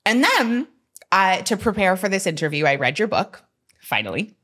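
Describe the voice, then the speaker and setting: Woman podcast host, mid-pitched, very lively melody, playful and expressive on a close studio mic. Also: moderate pace, breathy edge. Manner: expressive, laughs often, playful echoes of the guest. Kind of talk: casual conversational interview. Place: decorated podcast studio set with plants. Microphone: studio mic on boom arm, close.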